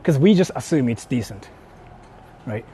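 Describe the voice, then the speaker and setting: A man's voice: mid-range voice, lively intonation, normal speed, dark tone. A man from Japan with a Japanese accent, streaming casually and rambling informally and bluntly as he answers viewers. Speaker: live streamer. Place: outdoors on a city street. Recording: noisy outdoor street, traffic ambience. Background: urban ambience and vehicles.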